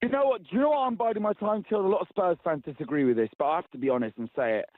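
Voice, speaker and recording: A man's voice: high-pitched, racing, no echo. A man calling a radio phone-in from his car, exasperated and repeating himself. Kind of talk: casual phone-in call. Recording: tinny phone line.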